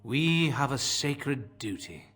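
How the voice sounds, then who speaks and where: A fervent cult priest from a fantasy game, speaking slowly at a middling pitch. He preaches gravely and pompously, with formal, sermon-like phrasing and zealous conviction. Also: expressive, a bit bright, faint music behind, heavily acted. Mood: stern, guarded. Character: solemn zealous male village cult priest.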